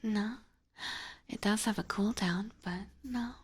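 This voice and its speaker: A young woman streamer whispering softly as she reads out and answers her chat, breaking into quiet laughs. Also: medium pitch, slow pace, slightly rough. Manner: whispering, with frequent soft laughs. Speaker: live streamer reading chat. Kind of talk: soft-spoken whispered chat with viewers.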